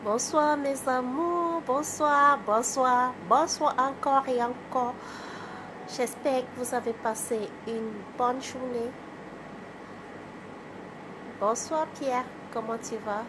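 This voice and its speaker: A woman from Cameroon with a cold, hosting a casual livestream, greeting viewers by name and chatting in a halting way. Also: sits high, steady tone, background noise. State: has a cold. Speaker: livestream host, artist. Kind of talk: casual live chat with viewers.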